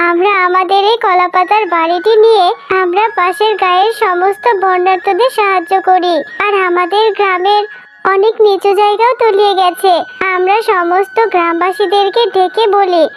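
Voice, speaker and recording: Woman voicing an earnest, very high-pitched cartoon jackal wife. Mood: urging. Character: caring young wife jackal, earnest and helpful. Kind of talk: cartoon dialogue.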